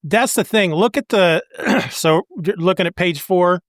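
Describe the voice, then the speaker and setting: A man's voice: high voice, unhurried, no room sound, clean studio sound. A man co-hosting a podcast, chatting casually about a comic book with wry humor. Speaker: podcast co-host.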